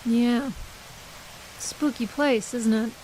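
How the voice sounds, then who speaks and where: An actress playing a brisk, defensive, skeptical literary agent in an audio horror drama, with dry, guarded delivery. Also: female voice, fairly high pitch, steady tone, a little mellow, slight background noise, poor audio. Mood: guarded, brittle.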